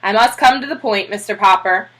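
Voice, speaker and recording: A woman's voice: mid-range voice, a little fast, a bit bright, close to an everyday voice, low-quality recording. A woman voicing a hearty, emphatic bearded admiral in a children's storybook. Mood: hearty, confident. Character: Admiral Drake, great bearded hearty explorer man.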